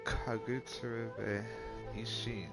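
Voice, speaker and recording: A man's voice: fairly low pitch, little pitch movement, slow, creaky. A man doing casual let's play commentary on a video game, informal and muttering to himself. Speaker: gaming video host. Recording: game music behind.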